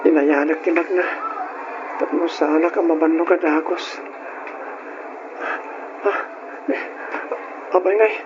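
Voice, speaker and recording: An actor playing a frail, eager schoolboy son with a weak heart in an Ilocano-accented radio drama, speaking in a plaintive, labored way. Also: male voice, a little high, steady tone, takes it slowly, long pauses. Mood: weary, musing. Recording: muffled radio recording with faint noise.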